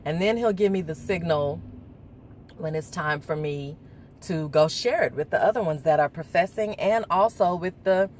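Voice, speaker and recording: A woman chatting casually on a morning vlog, rambling and laughing as she reflects, her voice deeper before coffee. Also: moderate pace, darkish tone, a little hiss or hum. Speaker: vlogger. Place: indoors, talking to her phone. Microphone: phone.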